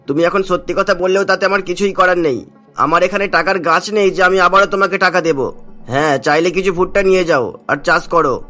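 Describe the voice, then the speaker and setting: Man playing a high-pitched, stern village landlord. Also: bright, forceful tone. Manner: scolding, dismissive, commanding. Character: stern, callous male village landlord, easily irritated. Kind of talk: Bengali cartoon story dialogue.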